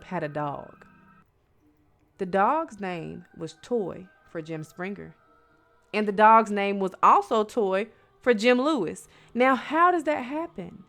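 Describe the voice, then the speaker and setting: An American woman storyteller telling eerie bedtime stories in a conversational way, addressing listeners as friends with dramatic emphasis and rhetorical questions. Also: medium pitch. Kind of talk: spooky bedtime storytelling with casual asides. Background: music.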